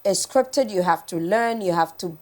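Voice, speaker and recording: A woman's voice: mid-range voice, normal speed, darkish tone. A woman with a Ghanaian accent in casual morning show chat, repeating phrases for emphasis and slipping into Twi.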